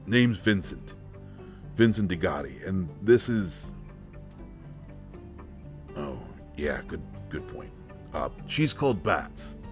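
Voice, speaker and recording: Guy acting as a laid-back awkward dude, really low voice, slow, faint music behind. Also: sing-song, exaggerated voice. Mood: awkward, friendly. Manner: hesitant, informal. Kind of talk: scripted immersive audio roleplay.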